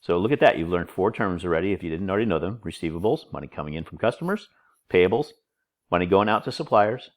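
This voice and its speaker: A man's voice: low-pitched, expressive, quick pace. A middle-aged man, a college instructor, giving a recorded online lecture over slides, a little nasal from a cold, informal and chatty with joking asides.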